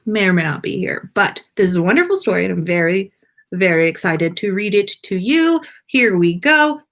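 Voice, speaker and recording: An enthusiastic woman reads stories aloud as a live host. Her pitch moves in lively swings, and she takes her time over the telling. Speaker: podcast host and story reader. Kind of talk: animated live storytelling.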